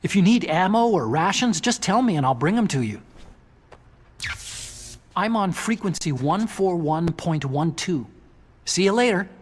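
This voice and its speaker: An actor playing an earnest, nerdy robotics scientist in a video game cutscene, with a boyish, eager manner. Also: male voice, sits high, animated, measured pace, pauses often, a little hiss or hum. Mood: eager, helpful.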